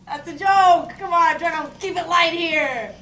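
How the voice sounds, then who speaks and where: A woman's voice: very high-pitched, measured pace, fairly bright tone. A woman reading a character's lines at a comedy script table read. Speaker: reader of a character part. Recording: noisy echoey room, far from mic.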